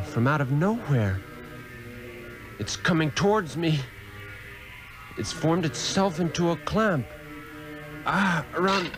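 An actor playing a skeptical, earnest doctor in a radio drama, speaking dramatically in short phrases. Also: male voice, fairly high pitch, rough recording. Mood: alarmed. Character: skeptical, earnest Western male doctor turned seeker. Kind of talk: 1960s-era radio drama acting.

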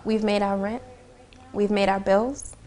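A woman's voice: medium pitch, steady tone, a little slow, warm tone. A woman in her thirties, a bookstore owner, talking earnestly in an interview, with frequent "um" and "you know". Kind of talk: on-camera interview. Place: small indoor bookstore.

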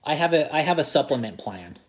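A man's voice: on the high side, big pitch swings, fairly quick, a little hiss or hum. A man in his thirties, talking on a phone call in a polite, hesitant way, recorded close up indoors at home. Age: 30s. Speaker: scam-baiter vlogger. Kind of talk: phone conversation with asides to camera. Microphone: phone or laptop camera close in front.

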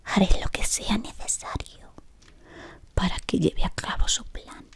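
A woman in her thirties playing a scheming, devoted female servant of a dark wizard, whispering close to the mic in a dim room. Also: measured pace, no echo, natural voice. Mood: sinister devotion. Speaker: ASMR roleplayer. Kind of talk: whispered ASMR roleplay.

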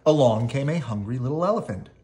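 A storybook reader giving an expressive read-aloud narration for children. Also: mid-range voice, quick pace.

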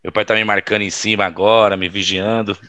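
Bright-voiced insolent young man. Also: on the low side, level tone, fairly quick, dry recording. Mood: smug casual. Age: young adult. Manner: slangy, insolent. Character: insolent young man, irresponsible spoiled rich son. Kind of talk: audio drama acting.

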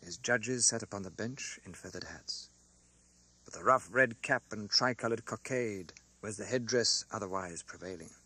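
A man narrating a classic novel as an audiobook, with a theatrical, expressive delivery. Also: fairly low pitch, normal speed, dry recording.

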